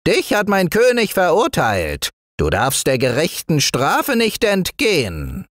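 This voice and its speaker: A man's voice: on the high side, wildly swooping pitch, slow pace, a bit bright, no room sound, studio quality, pitched above the performer's own voice, full character voice. A man voicing a cunning male fox, a minister who talks in a raised, theatrical voice. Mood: gloating.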